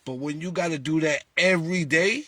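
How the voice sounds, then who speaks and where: A man's voice: fairly high pitch, brisk, dry recording. A man talking casually to his followers as a lottery group leader, asking rhetorical questions and acting out callers. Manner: animated, rhetorical, acts out callers.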